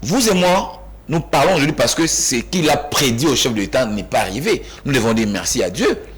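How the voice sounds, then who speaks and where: A man from Kinshasa, a panelist in a heated studio debate who cuts in, repeats himself and rises almost to shouting. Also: medium pitch, big pitch swings, brisk. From Kinshasa, DR Congo. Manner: insistent, interrupts, repeats himself emphatically, rising to near-shouts.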